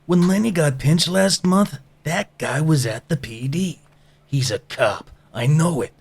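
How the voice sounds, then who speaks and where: An actor playing a cocky dockside union gangster in an audio drama. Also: male voice, a little high, expressive, unhurried, creaky, darkish tone, husky, exaggerated voice. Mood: wary, defiant.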